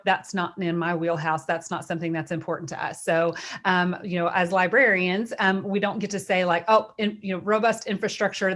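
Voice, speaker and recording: A woman's voice: lowish voice, a bit bright. A middle-aged woman with a Texan accent co-presenting a webinar in a chatty way, full of fillers and restarts. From Texas.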